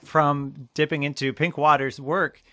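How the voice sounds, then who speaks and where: A man's voice: fairly high pitch, level tone, a little slow, pushed and bright, no echo, clean studio sound, faint music behind. A man hosting a podcast in a casual, conversational interviewing style.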